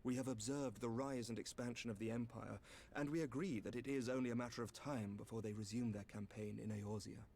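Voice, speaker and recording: An actor playing a courteous, grave knight commander in a fantasy game, speaking in formal, measured, archaic phrases with a slightly creaky voice. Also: male voice, medium pitch, fairly even intonation, darkish tone, slight background noise, close to an everyday voice. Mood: firm, measured. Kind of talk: scripted fantasy game dialogue.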